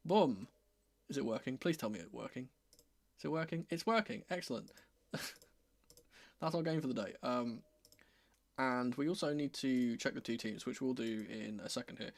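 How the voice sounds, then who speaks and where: A slightly croaky man hosts a football livestream in a dry, close sound. His pitch sits in the middle of the male range. He talks casually, with a wry, self-deprecating manner, as someone a little under the weather. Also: lively intonation, unhurried, long pauses, no room sound. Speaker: football fan streamer hosting a watchalong.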